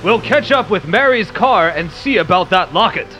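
A man's voice: high voice, animated, normal speed, sharp tone, rough recording. A man playing a breezy, protective big-brother superhero in an amateur comic-book radio play. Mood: cocky, playful. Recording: music clearly behind the voice.

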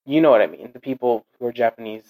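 A man's voice: medium pitch, mellow, rough recording. Rambling man in casual chat. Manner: rambling, self-correcting, quick to clarify.

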